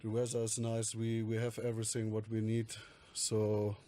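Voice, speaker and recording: A man answers fluently and matter-of-factly. His voice sits fairly low and stays even, with little movement in pitch. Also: normal speed, a little hiss or hum. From Germany. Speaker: MMA fighter guest. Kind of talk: interview answer.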